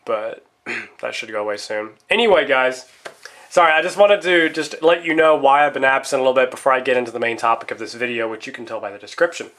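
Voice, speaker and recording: A man's voice: mid-range voice, fast talker, fairly bright tone. A man vlogging casually as he chats about pocket knives, his voice still a little stuffy after a cold, rambling and addressing viewers directly. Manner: rambling, talks straight to viewers as guys. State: stuffy nose, slight lingering cough after a cold. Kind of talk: casual chatty product vlog.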